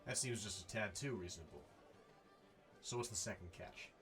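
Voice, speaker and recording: Casting: male actor for wary job-for-hire drifter, low-pitched, dry and conversational. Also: moderate pace, music in the background, natural voice. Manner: casual, skeptical, conversational. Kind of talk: naturalistic film dialogue.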